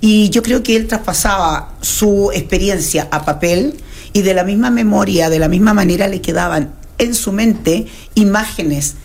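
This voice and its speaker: A woman's voice: mid-range voice, normal speed, darkish tone, husky. A Chilean woman with a Chilean accent, a gallery owner chatting as a radio guest, with some hesitations. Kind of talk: interview conversation.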